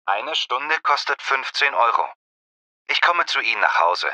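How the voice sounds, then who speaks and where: A man's voice: mid-range voice, sing-song, a little slow, sharp tone, no room sound, exaggerated voice. A man playing a young, matter-of-fact student tutor in a scripted language-lesson dialogue. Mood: businesslike. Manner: businesslike, obliging.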